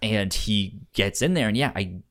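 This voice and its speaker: A man casually discussing a movie as a podcast host, with halting speech and stuttered, repeated sounds and words. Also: fairly low pitch, animated, fairly bright tone.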